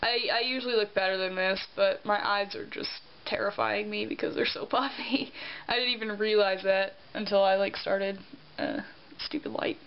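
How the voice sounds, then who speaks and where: A woman's voice: medium pitch, steady tone, normal speed. A young woman in casual vlog chat, rambling and self-deprecating, tired and congested with a sore throat. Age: young adult. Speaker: vlogger. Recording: dull lo-fi sound with faint hiss.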